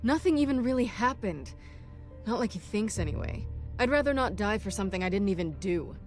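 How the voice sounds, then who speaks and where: Woman acting as guarded fugitive, medium pitch, wry, cool, worldly, game dialogue, soft music. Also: lively intonation, fairly quick, close to an everyday voice. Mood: frustrated.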